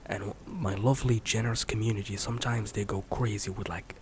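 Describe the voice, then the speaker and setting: A man in his late twenties, a streamer rambling in a soft-spoken, candid way, swearing casually and slipping into whispers. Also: lowish voice, fairly even intonation, a little fast, slight background noise. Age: 20s. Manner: candid, swears casually, many fillers and restarts; drifts between soft speech and whisper.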